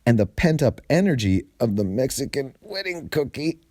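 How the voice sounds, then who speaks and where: A man reading a humorous story aloud in an expressive, theatrical way with deliberate phrasing. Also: medium pitch, no room sound, studio quality.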